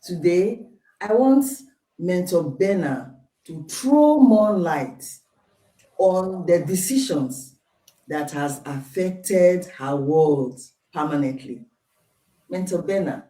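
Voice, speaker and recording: Woman, live show host, deliberate formal delivery, low-ish voice, echoey room. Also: animated, warm tone, far from the mic. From Nigeria. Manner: slow, deliberate, formal welcomes. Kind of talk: hosting a live online talk.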